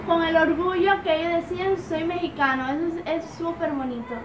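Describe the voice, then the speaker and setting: A woman's voice: extremely high pitch, fairly even intonation, brisk, reverberant, recorded from a distance, background noise. A young Cuban woman with a Cuban accent, chatting enthusiastically from a sofa at home. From Cuba. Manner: enthusiastic, admiring. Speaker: reaction channel host. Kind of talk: casual reaction chat. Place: living room sofa at home.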